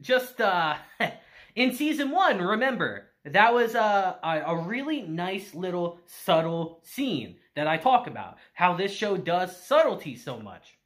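A man in his thirties reviewing anime on camera in a small room, animated and gushing, laughing often. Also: high-pitched, unhurried. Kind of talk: excited casual review.

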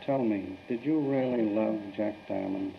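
A man's voice: on the low side, unhurried, vocal fry, soft background music. A man putting formal, stilted questions as an interviewer on a muffled, noisy 1930s newsreel soundtrack.

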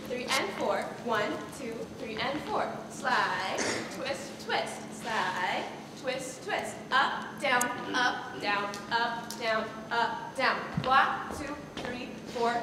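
A woman's voice: fairly high pitch, expressive, drawn-out words. A young woman on stage playing a bossy, exasperated leader of a dance rehearsal in a school comedy, with emphatic, theatrical delivery. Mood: brisk, instructing. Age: young adult. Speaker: student actor in a school one-act play. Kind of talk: stage comedy acting, projected. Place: large theatre stage, indoors. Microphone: distant audience camera. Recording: echoey auditorium from far off, noisy.

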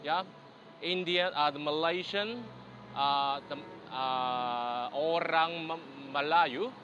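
Slow, hesitant man from China. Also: on the high side, pushed and bright, muffled recording, slight background noise. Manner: slow, searching for words with many ums. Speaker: tourist, interviewee. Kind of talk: casual street interview. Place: outdoors.

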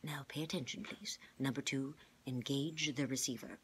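A woman voicing a grave, patient male scientist in a storybook, explaining in measured, formal words. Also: a bass voice, steady tone, a little hiss or hum. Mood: calm.

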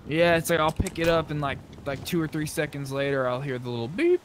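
A man's voice: a little high, lively intonation, a little fast. A man talking casually in roleplay over online game voice chat. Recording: game voice chat, noisy background.

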